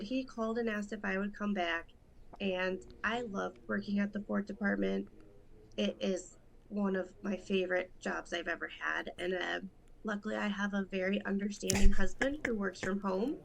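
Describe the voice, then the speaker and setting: A woman's voice: medium pitch, fairly even intonation, vocal fry. A woman in her thirties from Rochester, New York, a judicial candidate talking earnestly and in detail in an interview. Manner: earnest, detailed, quick in stretches. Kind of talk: casual interview talk.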